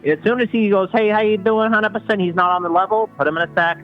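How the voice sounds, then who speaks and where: A man's voice: sits high, steady tone, fast, soft background music, natural voice. A man voicing a brash, menacing Sicilian mobster on a call-in line, talking tough and saying "listen" a lot. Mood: boastful. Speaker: caller. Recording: muffled phone-line call.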